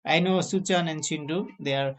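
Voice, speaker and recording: Young guy chatting on a video call, simple choppy english, gets loud and excited. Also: a little high, normal speed. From Nepal. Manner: chatty, simple broken English, emphatic bursts. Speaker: channel host. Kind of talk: casual video chat.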